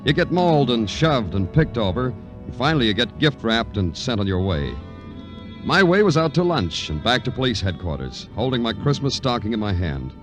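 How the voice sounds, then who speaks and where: An actor playing a weary, terse police detective with a wry, deadpan manner in a vintage radio crime drama. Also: male voice, medium pitch, normal speed, lightly acted. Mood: wry, world-weary. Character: weary, patient male New York police detective. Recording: vintage radio broadcast with music.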